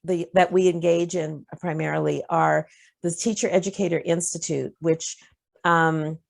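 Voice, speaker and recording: A woman with a lowish voice leads a workshop over a video call. She talks in a loose, conversational way, often pausing on "um" as she gathers her thoughts. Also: vocal fry. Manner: relaxed, conversational, with frequent fillers. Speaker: presenter leading a discussion. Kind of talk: online workshop discussion.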